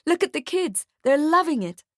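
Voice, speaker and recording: A woman's voice: high voice, very animated, normal speed, fairly bright tone, no echo, studio quality, pitched above the performer's own voice. A woman voicing an emotional, effusive mother of a small child in an audiobook story. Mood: delighted. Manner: effusive, exclamatory. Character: emotional, gushing young mother.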